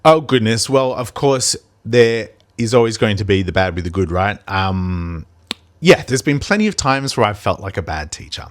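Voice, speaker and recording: A man's voice: on the low side, expressive, normal speed, dry recording. A man, a primary school teacher hosting a podcast, who talks in a reflective, rambling way with many ums and restarts. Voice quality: big voice. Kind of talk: reflective casual podcast chat.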